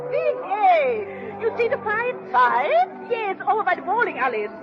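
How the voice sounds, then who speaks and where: A woman playing an excitable, gossipy immigrant matron with a German accent in a 1930s radio comedy-drama. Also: a little slow, full character voice. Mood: excited. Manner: shrill, gossipy. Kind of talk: 1930s radio comic acting. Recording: muffled 1930s radio, music and crowd behind.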